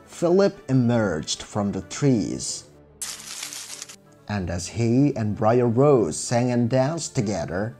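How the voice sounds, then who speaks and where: A male storyteller reads a children's fairy tale from a script. His delivery is unhurried, with a mid-range voice that moves expressively up and down. Faint music plays behind him. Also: medium pitch, big pitch swings, mellow.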